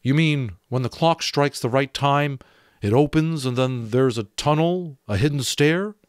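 Man playing an insistent, high-strung storybook magician. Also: measured pace, clear, resonant tone, dry recording, clean studio sound, natural voice. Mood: doubtful. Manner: questioning, insistent. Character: indignant, doubtful male magician.

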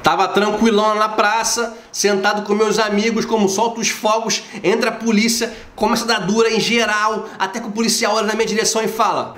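A man in his forties from Brazil with a Rio de Janeiro accent, vlogging casually to camera at home, slangy and animated. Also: high voice, fairly quick, hardly any pauses. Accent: Rio de Janeiro Portuguese. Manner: slangy, animated storytelling, acts out quoted voices. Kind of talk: casual opinion vlog. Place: home living room, indoors. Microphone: camera at close range. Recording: roomy echo in a home room.

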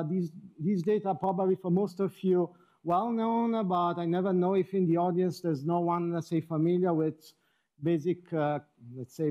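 A man's voice: high voice, darkish tone. Wry man giving a seminar. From Switzerland. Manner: wry, self-deprecating, often rephrasing mid-sentence. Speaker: lecturer. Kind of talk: academic seminar talk. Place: lecture hall, indoors.

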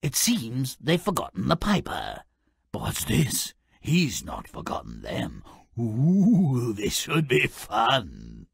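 An actor playing a gleefully malicious, sardonic little fairy-tale troublemaker in a video game, with a raspy, gravelly voice and a theatrical, gloating delivery. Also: male voice, mid-range voice, wildly swooping pitch, takes it slowly, dry recording, heavily acted. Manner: sardonic, gloating, theatrical, swings from murmur to shout. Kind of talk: theatrical scripted game narration.

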